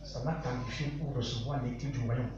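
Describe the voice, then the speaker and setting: A man with a Cameroonian accent preaching a sermon in short, emphatic, repeated phrases, pausing for an interpreter. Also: medium pitch, steady tone, a little mellow, slight background noise. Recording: muffled, very echoey hall, distant mic, music behind.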